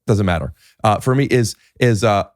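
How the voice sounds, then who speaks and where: Low-voiced man, halting, close dry mic. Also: a little mellow, no echo. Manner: halting, many restarts and fillers. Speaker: podcast host. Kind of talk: casual conversation.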